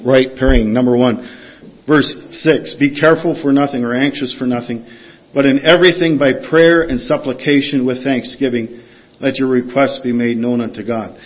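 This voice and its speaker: A man's voice: mid-range voice, fairly even intonation, moderate pace. A man preaching a sermon, reading scripture aloud and putting questions to the congregation in an earnest teaching manner. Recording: dull lo-fi sound.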